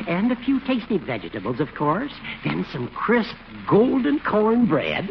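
An actor playing a sly older judge who needles his friend with teasing, drawn-out mock politeness in a radio comedy. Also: high-pitched, huge pitch swings, gravelly, heavily acted. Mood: tempting. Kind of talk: 1940s radio comedy acting. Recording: muffled, noisy 1940s radio recording.

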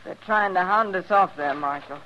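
Sharp-tongued frontier wife. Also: female voice, on the low side, moderate pace. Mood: bitter, afraid. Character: fearful, bitter, sharp-tongued homesteader's wife. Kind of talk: old-time radio western acting. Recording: dull, noisy old radio recording.

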